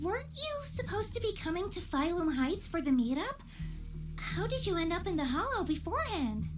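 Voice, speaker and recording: A woman's voice: extremely high pitch, very animated, moderate pace. A woman voicing an earnest young girl fascinated by monsters in an anime-style game. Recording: loud game music behind. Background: game music.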